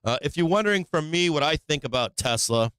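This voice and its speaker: A man's voice: a little high, animated, a little fast, a bit bright, smooth, no echo. A man who is losing his voice from being sick, hosting a casual stock-trading livestream, thinking aloud over charts and tossing in rhetorical "right?"s. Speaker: stock market livestream host.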